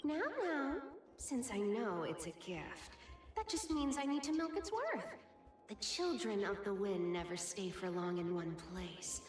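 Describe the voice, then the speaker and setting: Over loud music, in an echoey space, a coy female thief from a video game. She is teasing and mocking, with a theatrical delivery that swings freely in pitch. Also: sing-song, measured pace. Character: teasing, sly, playful woman thief of the winds.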